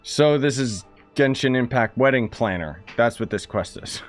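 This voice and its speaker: Animated young man doing game commentary. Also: mid-range voice, moderate pace. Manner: sarcastic, slangy. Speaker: gaming streamer. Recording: game music behind the voice. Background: game music.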